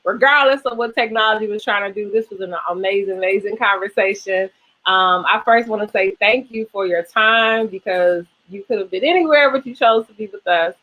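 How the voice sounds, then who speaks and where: A woman's voice: mid-range voice, brisk, a bit bright. Woman, American accent, interview host, effusive, encouraging, casual, dull lo-fi video call sound.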